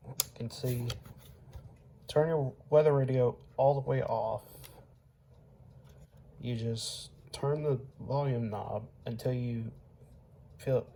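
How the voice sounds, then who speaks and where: A man aged twenty-one giving a casual, unscripted demonstration as a hobbyist, halting and pausing mid-sentence. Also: medium pitch, creaky, warm tone. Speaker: hobbyist showing weather radios. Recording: home recording with faint background noise.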